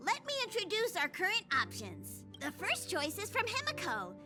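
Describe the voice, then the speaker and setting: A woman's voice: extremely high pitch, wildly swooping pitch, normal speed, full character voice. A woman voicing a cute, bossy young creature conductor in a video game. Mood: businesslike, perky. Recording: loud music behind the voice.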